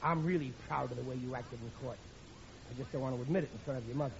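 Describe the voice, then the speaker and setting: A man's voice: medium pitch, racing, vocal fry, natural voice. An American man acting a blustery, put-upon working-class father in a 1940s radio sitcom, exclaiming and grumbling in colloquial speech. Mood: confiding. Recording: noisy, dull old radio recording, audience behind.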